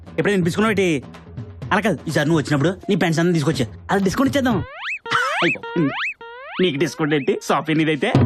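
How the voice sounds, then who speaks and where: A man playing a brash, chatty small-town shopkeeper relative in a comedy film, with a coastal Andhra Telugu accent and a rambling, overfamiliar manner. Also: high-pitched. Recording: film soundtrack with music behind.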